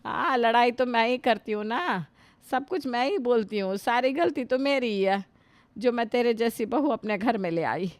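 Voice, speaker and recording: A woman's voice: fairly high pitch, expressive, moderate pace, no echo, natural voice. A woman playing an elderly, put-upon mother-in-law in a family drama, complaining and lamenting to God. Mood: hurt. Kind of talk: Hindi family drama acting.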